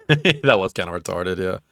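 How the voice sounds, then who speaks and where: A man's voice: deep voice, expressive, moderate pace, creaky, fairly bright tone, no echo, clean studio sound. A young man co-hosting a podcast, swearing freely and teasing his friend, laughing often. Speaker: podcast co-host. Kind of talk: casual crude banter.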